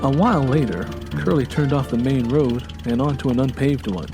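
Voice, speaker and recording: An American man narrating a dramatic story from a script in a deliberate, expressive way. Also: mid-range voice. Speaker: audiobook narrator. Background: faint music bed.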